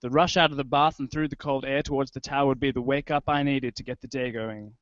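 A man's voice: medium pitch, fairly even intonation, fast, a bit bright, dry recording. A young Australian man reading literary personal prose into a handheld microphone. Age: young adult. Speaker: writer or performer reading at a festival. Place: indoor room, live online video stream. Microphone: handheld microphone held close. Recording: dull lo-fi webcast, prominent music behind. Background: music soundscape.

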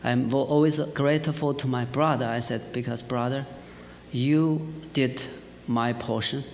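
A middle-aged man with a Chinese accent preaches in a large church hall, his voice ringing with a long reverb over faint hiss. He sits at an ordinary pitch, tells his story in a lively way and fills his pauses with "uh". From China. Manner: lively storytelling, laughs often, frequent fillers. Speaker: pastor. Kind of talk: sermon with personal testimony.

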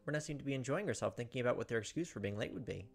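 A man's voice: mid-range voice, animated, rapid-fire, vocal fry. A man, reading visual novel narration aloud with asides into a close microphone. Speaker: let's play host. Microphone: close desk microphone. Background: game music.

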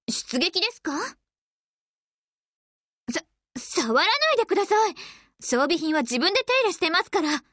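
A high, bright, battle-hungry warship girl. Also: dry recording, clean studio sound, full character voice. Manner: confident, theatrical, forceful. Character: proud battle-hungry young warship woman, eager for victory. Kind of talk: anime game character voice lines.

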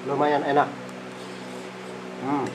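A man's voice: fairly high pitch, monotone. Middle-aged man murmuring while eating. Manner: slow, murmuring, eats between phrases, frequent "eh" fillers. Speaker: food vlogger. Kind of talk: casual eating vlog. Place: small rented room indoors. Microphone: phone camera on the table. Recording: phone recording with room noise and cutlery clatter. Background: clinking cutlery and dishes.

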